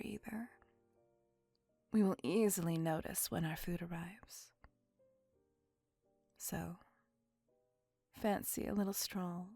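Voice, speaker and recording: Ancient female vampire played by a woman, ASMR roleplay. Breathy half-whisper, languid and old-fashioned, tenderly possessive, very close and dry mic. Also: mid-range voice, a little mellow, no room sound. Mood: tender, romantic. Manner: formal old-fashioned wording, languid, doting. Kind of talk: ASMR roleplay, intimate scripted monologue. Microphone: close microphone.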